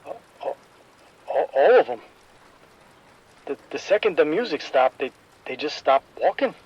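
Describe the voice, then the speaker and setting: A man's voice: on the high side, sing-song, a little slow, a little mellow, husky, highs cut off, exaggerated voice. An actor playing a terrified nightclub DJ trapped in his booth, stammering and panicked, in a radio drama. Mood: terrified whisper.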